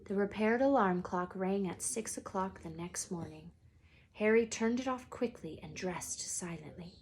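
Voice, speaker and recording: A woman reading a children's book aloud as a storyteller, dramatic and expressive, sometimes hushed for suspense. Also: medium pitch, a little hiss or hum. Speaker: read-aloud storyteller, teacher.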